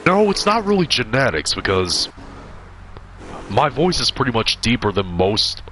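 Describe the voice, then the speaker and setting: A man in his twenties with a deep voice, chatting casually while streaming gameplay and answering his viewers. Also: measured pace, a little hiss or hum. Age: 20s. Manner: relaxed, chatty, answering chat. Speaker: gaming streamer.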